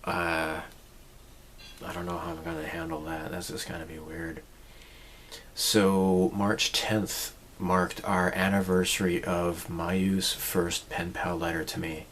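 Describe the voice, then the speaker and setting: Slow, low-voiced man musing in his forties. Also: level tone, a bit bright, a little hiss or hum. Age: 40s. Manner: rambling, reflective, many fillers and restarts. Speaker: channel host. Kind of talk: casual personal vlog talk.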